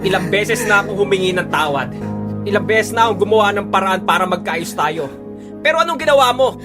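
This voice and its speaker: A man's voice: high-pitched, expressive, brisk. A man playing a bitter, resentful estranged husband in a melodramatic audio drama. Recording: voice over dramatic music.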